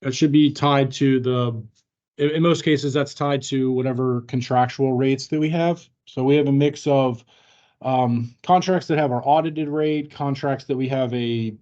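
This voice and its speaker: A man explaining things informally to colleagues as a senior staff member, in a halting, measured manner. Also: mid-range voice, mellow, muffled recording. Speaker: senior colleague answering trainees' questions. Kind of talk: informal explanatory workplace talk.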